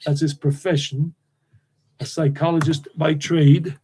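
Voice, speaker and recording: Meandering man, mellow voice. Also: on the high side, level tone, measured pace, a little hiss or hum. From United States. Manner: meandering, repeats himself. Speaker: tour guide and channel host. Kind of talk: rambling live stream chat.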